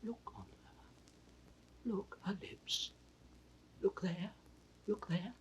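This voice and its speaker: Anguished old king, slow and distant. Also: high-pitched. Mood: broken, fading. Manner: slow declamation, swinging between anguished cries and broken whispers. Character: frail grief-maddened old king, imperious, failing. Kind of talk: 1960s recorded Shakespeare drama, classical stage acting. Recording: distant, noisy vintage drama recording.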